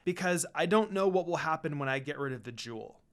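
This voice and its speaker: A man's voice: a little high, lively intonation, brisk, natural voice. A man voicing a cheerful teenage girl, an affectionate daughter, in an anime fan story reading. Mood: warm, earnest.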